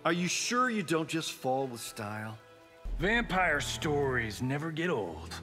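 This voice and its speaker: An actor playing a flippant, boastful American Hollywood action star trading slangy banter in a fighting video game. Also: male voice, a little high, sing-song, a little slow, bright, forceful tone, exaggerated voice. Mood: brash, teasing. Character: cocky wisecracking male Hollywood action movie star. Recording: game music behind.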